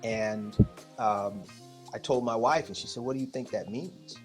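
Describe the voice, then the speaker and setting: A middle-aged American man speaking as an interview guest, telling personal stories in a reflective, halting, conversational way and acting out bits of dialogue. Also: fairly low pitch, soft background music.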